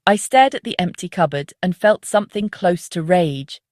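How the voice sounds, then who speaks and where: A woman reading a scripted first-person story as the narrator of a dark psychological tale. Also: lowish voice, lively intonation, normal speed, no room sound, studio quality.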